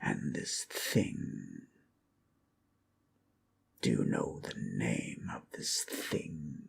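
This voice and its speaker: An ancient undead lich recounts dark lore in a very low, heavily creaking voice. He speaks extremely slowly and theatrically, slipping into breathy whispers for an ASMR roleplay, with ominous pauses. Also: expressive, slight background noise, heavily acted. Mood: hushed, ominous. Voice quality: gravelly, breathy, often dropping to a whisper. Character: ancient powerful undead lich, brooding loremaster of a fantasy world.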